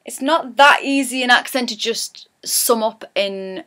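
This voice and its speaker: A woman's voice: on the high side, a little slow, pushed and bright. A woman from Newcastle with a Geordie accent, rambling cheerfully as she teaches her local dialect in a casual lesson. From Newcastle, England.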